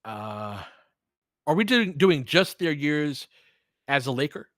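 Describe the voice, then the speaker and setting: A man's voice: fairly high pitch, sing-song, slow, fairly bright tone, no echo. A man hosting a casual sports stream, talking conversationally and thinking aloud, often trailing off mid-thought, on a close microphone. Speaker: host of an audio play-by-play fan stream. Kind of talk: casual sports chat, answering listeners during play.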